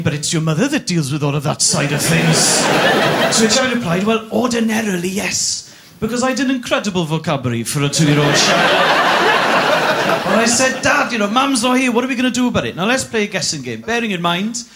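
A man's voice: high voice, very animated, fairly quick, very noisy. A young Welsh man whose first language is Welsh, telling a stand-up story on a theatre stage into a handheld mic, acting out voices, with many "uh"s and "right?"s. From Wales. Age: young adult. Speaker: stand-up comedian. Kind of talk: stand-up comedy routine. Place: large theatre stage before a live audience. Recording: TV studio audience recording with loud crowd and laughter. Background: audience laughter.

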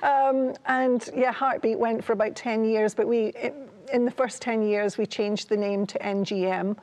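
A woman's voice: fairly high pitch, steady tone, moderate pace, a little mellow. Scottish woman in her sixties. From Ayr, Scotland. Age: 60s. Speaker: guest. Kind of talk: personal testimony in a studio talk show. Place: TV studio, seated at a table.

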